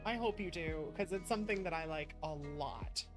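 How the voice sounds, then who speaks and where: A woman's voice: sits low, normal speed, music in the background. A woman streamer chatting casually to her viewers in an affectionate, effusive and thankful manner. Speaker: streamer hosting a live stream. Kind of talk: casual livestream chat to viewers.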